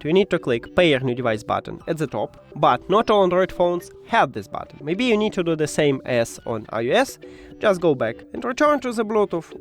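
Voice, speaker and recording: Non-native English man, lively higher-pitched tutorial voice. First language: not English. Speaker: tech tutorial presenter. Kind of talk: step-by-step spoken tutorial.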